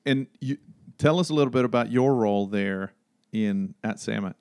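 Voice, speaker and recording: A man's voice: fairly low pitch, moderate pace, darkish tone, no echo, clean studio sound. A man from Sweden with a Swedish accent, a native Swedish speaker, asking questions casually as an interview host.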